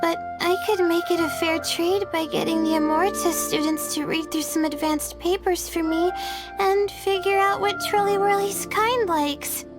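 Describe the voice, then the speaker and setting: A woman voicing a sleepy, overworked young female student in an animated game, mild, weary and earnest. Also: very high voice, normal speed, loud music behind the voice.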